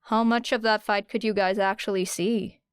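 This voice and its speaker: A woman voicing a guarded, sarcastic teenage girl with a dry, deadpan manner in an audiobook. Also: mid-range voice, moderate pace, clear, resonant tone, no echo, lightly acted. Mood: careful. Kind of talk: audiobook character voice.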